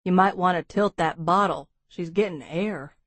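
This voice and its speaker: A woman voicing an easygoing, wryly amused Texan businessman with a drawling baritone in an audiobook. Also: moderate pace, a bit bright, no room sound. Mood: wry, brisk. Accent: Texas. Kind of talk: audiobook character dialogue.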